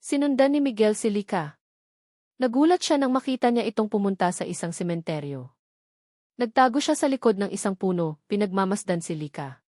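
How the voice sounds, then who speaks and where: A woman's voice: medium pitch, animated, a little fast, mellow, dry recording, studio quality. A woman reading a scripted romantic drama as a story narrator. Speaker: narrator of an audio love story.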